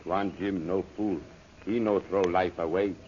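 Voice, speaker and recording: Loyal cautious jungle guide, very low voice, clipped pidgin English, old radio adventure, muffled recording. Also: male voice, fairly even intonation, measured pace, darkish tone, a little hiss or hum. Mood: loyal caution. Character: loyal, cautious native guide guarding a young woman. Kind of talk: 1930s radio serial acting.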